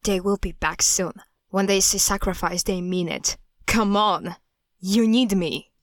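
An actress playing a defiant, knowing daughter of a villain in a horror audio drama, with a pointed, assured delivery. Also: female voice, medium pitch, measured pace, no room sound, clean studio sound, lightly acted. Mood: urgent. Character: defiant, knowing woman, cult leader's estranged daughter.

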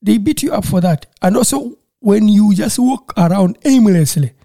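A man's voice: high voice, animated, moderate pace, vocal fry, dark tone, no echo, clean studio sound. A man from Uganda, working in childcare, talking casually and earnestly in an interview, often repeating and restarting words.

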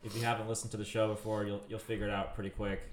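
A man's voice: on the low side, level tone, very fast, reverberant, a little hiss or hum. A man hosting a casual podcast, upbeat and steering the conversation. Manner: upbeat, leads the talk. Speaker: podcast host. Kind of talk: casual chat.

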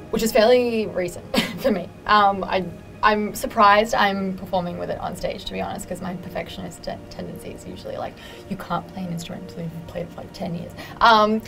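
A woman's voice: lowish voice, poor audio. A young woman, chatting in an interview about her creative work, chatty and self-deprecating, with many fillers. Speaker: interviewee, musician and writer. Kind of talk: casual interview answers. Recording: music playing behind.

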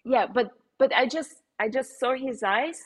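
A woman painter with a Slovenian accent, speaking as a guest in a casual interview, pausing often with fillers and restarts. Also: fairly high pitch, slightly rough. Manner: halting, many fillers and restarts, laughs often.

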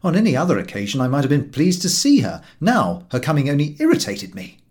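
A man narrating a humorous story as an audiobook reader, in an expressive scripted style. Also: a little high, fast talker.

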